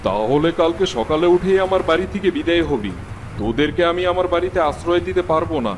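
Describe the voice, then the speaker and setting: A man plays a stingy, domineering cartoon landlord. His voice is fairly high and swings widely in pitch as he bosses and scorns, over clearly noisy ambience. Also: lively intonation, fast, close to an everyday voice. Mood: scornful. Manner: scornful, bossy. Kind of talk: cartoon dialogue acting.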